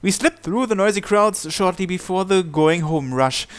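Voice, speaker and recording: A man's voice: high voice, lively intonation, normal speed, smooth. A man hosting a let's-play, reading a visual novel's narration and every character's lines aloud in his own voice.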